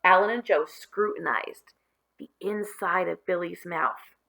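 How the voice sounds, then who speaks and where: A woman reading a children's story aloud, with dramatic, deliberate phrasing and expressive narration. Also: lowish voice, creaky, rough recording.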